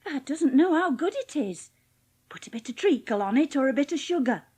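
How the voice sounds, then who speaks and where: A woman's voice: sits high, animated, brisk, a little mellow. A woman playing a cheerful, plain-spoken young housemaid with a Yorkshire accent in a dramatised audiobook. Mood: scolding, earnest. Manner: chatty, blunt, homely dialect speech.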